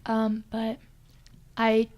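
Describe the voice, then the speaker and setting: A woman's voice: on the high side, monotone, extremely slow, darkish tone. A woman playing a student psychic, polite, matter-of-fact and a little defensive, in an improvised comedy interview.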